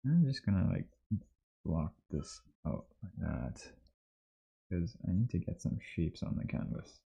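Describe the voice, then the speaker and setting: A man's voice: deep voice, very animated, unhurried, gravelly, dark tone, husky. A man in his thirties from Trinidad and Tobago, chatting casually and easily on a livestream while he works, in slightly muffled audio. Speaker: livestream host, 3D artist. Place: small indoor home workspace.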